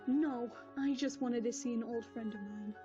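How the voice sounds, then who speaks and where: A woman voicing a wise, gentle royal mare who speaks in a calm, motherly way. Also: fairly high pitch, moderate pace, close to an everyday voice. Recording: dull lo-fi audio with music.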